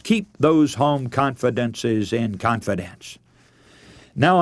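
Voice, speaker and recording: A man's voice: medium pitch, a little mellow, no room sound. An American man preaching on the radio, speaking directly to listeners in an earnest, emphatic, admonishing manner. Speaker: radio preacher and broadcast host.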